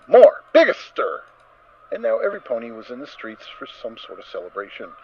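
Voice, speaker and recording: A man narrating a story as a dramatic reading, with expressive, theatrical emphasis. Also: a little high. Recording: dull recording with faint noise.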